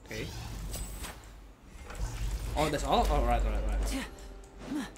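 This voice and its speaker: A man's voice: mid-range voice, wildly swooping pitch, extremely slow, background noise. A young man giving casual, rambling gameplay commentary, often repeating his words. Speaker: game streamer. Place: indoor room, game music behind.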